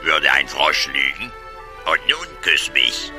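Pompous frog prince, rock-bottom bass, swooping delivery, insistent, children's radio play. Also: male voice, sing-song, slow, music in the background, heavily acted. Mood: wheedling. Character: talking frog claiming to be a noble prince.

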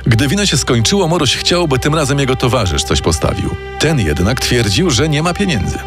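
A man narrates a true-crime story in a measured, dramatic radio style. His pitch moves a lot, and music plays clearly beneath his voice. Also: very animated. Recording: narration over loud music.

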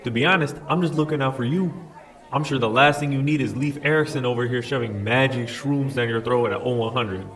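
Friendly military veteran, male actor: jovial, nosy, informal audio drama delivery, medium pitch, faint crowd behind. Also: brisk, fairly bright tone, natural voice. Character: chatty, friendly veteran special ops major.

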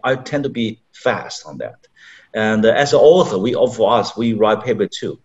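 A middle-aged man, a journal editor, speaking haltingly on a panel over a video call. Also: lowish voice, animated, darkish tone. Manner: halting, with pauses and fillers. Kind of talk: panel discussion. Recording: dull video call audio from a distance.

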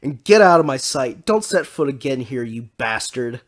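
A man voicing a furious plump blonde woman in a storybook reading, shouting insults at her lover. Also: mid-range voice, lively intonation, normal speed, close to an everyday voice. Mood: enraged.

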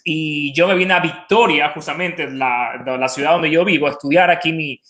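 A man's voice: fairly high pitch, moderate pace, fairly bright tone. A man in his thirties with an Ecuadorian accent, talking enthusiastically and explaining things informally as a college representative on a video call. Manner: enthusiastic, explanatory, repeats words for emphasis. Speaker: college representative, webinar guest. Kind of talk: informal promotional interview talk. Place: home living room with open kitchen, video call. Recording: roomy echo on video call.

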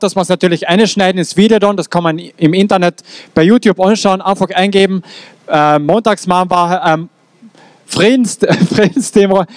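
Young male organizer, high smooth voice, loose announcements, handheld mic outside. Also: dry recording. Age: young adult. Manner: loose, informal. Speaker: event organizer. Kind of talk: casual announcement. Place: town square outdoors.

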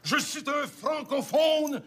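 An actor playing a loud, vain middle-aged man from Quebec with a crude, joking manner and a Québécois French accent. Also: very high voice, slow pace, exaggerated voice. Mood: pompous. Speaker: comedic film character. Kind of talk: comedy film acting.

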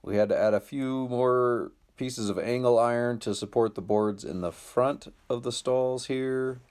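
A man's voice: medium pitch, unhurried, darkish tone, smooth. An American man in his thirties talking casually to viewers in a laid-back, plain-spoken way, like a DIY vlogger. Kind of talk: casual vlog narration to viewers.

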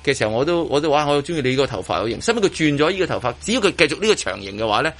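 A man's voice: on the high side, lively intonation, very fast, fairly bright tone. A man with a Hong Kong Cantonese accent hosting casual radio chat, rephrasing himself emphatically as he goes. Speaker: radio show host.

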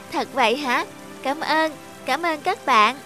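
A woman voicing a gentle young water creature in a cartoon fairy tale. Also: sits high, expressive, slow pace, faint music behind, exaggerated voice. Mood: joyful. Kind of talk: animated cartoon dialogue.

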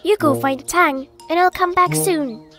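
A teasing little monkey hero for a kids' cartoon. The voice is extremely high and childlike, clever in manner, with music clearly heard behind it. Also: unhurried. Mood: sly. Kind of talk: children's cartoon story acting.

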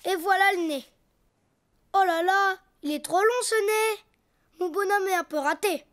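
A very high, childlike cartoon voice for a little boy donkey. He chatters to himself at an unhurried pace, cheerful, imaginative and expressive. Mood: cheerful, busy.

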